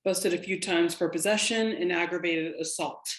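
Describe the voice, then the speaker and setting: A woman playing a stern, sharp-tongued law enforcement agent who speaks with clipped authority in a drama table read. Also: on the low side, moderate pace, natural voice. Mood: cool briefing. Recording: roomy, echoing room sound.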